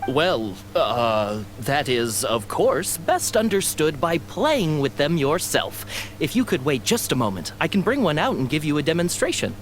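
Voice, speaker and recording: A suave, showy merchant from an anime game. His courtly sales talk rises and dips expressively and can turn flustered. Music is clearly audible behind him. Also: fairly high pitch, very animated, unhurried. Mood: flustered, obliging. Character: suave, showy foreign male toy merchant, easily flustered.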